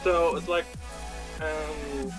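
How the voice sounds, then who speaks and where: A man's voice: on the high side, flat delivery, drawn-out words. A young American man telling a personal story casually, in a rambling, self-deprecating way. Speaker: podcast storyteller. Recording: background music throughout. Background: music.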